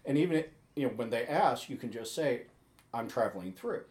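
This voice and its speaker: A man's voice: medium pitch, lively intonation, normal speed, darkish tone. A man co-hosting a podcast in casual chat, patiently explaining things while stumbling over and repeating his words. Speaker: podcast co-host. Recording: roomy echo.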